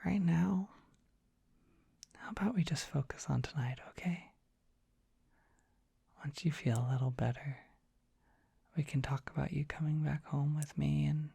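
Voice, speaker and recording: Breathy whispering dorky dragon boy, acted out. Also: medium pitch, dry recording, exaggerated voice. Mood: bashful, soothing. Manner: tender, bashful, hesitant, softly reassuring. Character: dorky, gentle young dragon boy, shy, devoted comforting partner. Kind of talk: ASMR roleplay, intimate comforting monologue.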